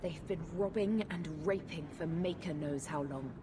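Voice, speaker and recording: An actress as a blunt, low-pitched guard lieutenant. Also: female voice, normal speed, noisy background, close to an everyday voice. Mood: determined. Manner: blunt, soldierly. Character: embattled female guard lieutenant, gruff and dutiful. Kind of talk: video game cutscene acting.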